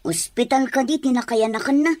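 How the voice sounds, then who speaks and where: A woman's voice: on the high side, brisk, a little mellow, no room sound, natural voice. A woman playing a nosy, blunt, teasing relative in an audio drama. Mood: probing, accusing.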